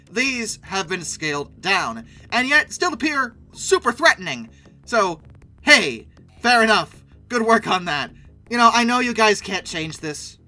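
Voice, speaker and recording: A man with a high and strikingly bright voice delivers sarcastic commentary as a video host. His pitch swings in a lively way, and he stretches words for wry emphasis. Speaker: host of a dinosaur video channel.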